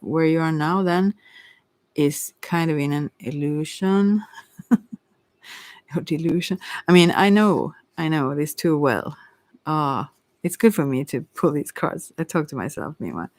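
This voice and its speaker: Halting woman casually reading tarot, dry recording. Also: sits low, a little mellow, no room sound. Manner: halting, thinking aloud. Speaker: tarot reader.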